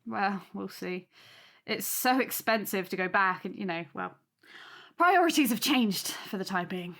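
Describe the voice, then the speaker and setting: An actress playing a modest young aunt hosting in an audio drama, polite, gentle and eager to please. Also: female voice, medium pitch, animated, measured pace, vocal fry. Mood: subdued.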